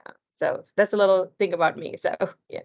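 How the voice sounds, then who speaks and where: Low-pitched woman, halting panel speaker. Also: steady tone, gravelly, dull audio. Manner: halting, repeats phrases, often says "you know". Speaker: panelist, accounting firm executive. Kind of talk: panel discussion, unscripted personal story.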